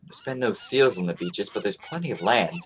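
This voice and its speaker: A man acting in a radio play as an earnest, determined young sea captain, speaking a little haltingly. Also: lowish voice, expressive, a bit bright, a little hiss or hum. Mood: earnest, worried.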